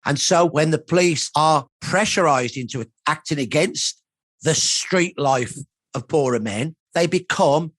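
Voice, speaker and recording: Husky man, emphatic historian. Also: fairly high pitch, unhurried, fairly bright tone, dry recording. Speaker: historian, expert commentator. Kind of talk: explanatory documentary interview. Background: faint background music.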